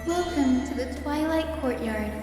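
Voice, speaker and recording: High-voiced gentle young healer. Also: female voice, a little slow. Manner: soothing, polite. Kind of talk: video game voice acting. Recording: heavily echoing, long reverb. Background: loud music.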